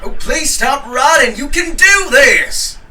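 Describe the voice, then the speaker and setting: A man playing a jittery, exuberant adventurer in a fantasy audio drama, animated and exclamatory. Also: extremely high pitch, slow, distant mic, background noise, heavily acted. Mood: anxious, pleading.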